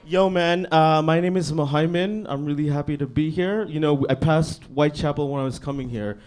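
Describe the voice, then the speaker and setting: A young man from Bangladesh doing a stand-up set on a comedy club stage, talking in a laid-back, deadpan way with lots of "you know what I mean". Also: on the high side, fairly quick, clear, resonant tone.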